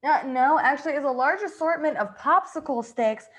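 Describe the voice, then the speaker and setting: A woman's voice: sits high, moderate pace, fairly bright tone. A woman improvising a comedy sketch as a polite, curious bank agent making a customer-service call, a little hesitant. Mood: polite, puzzled.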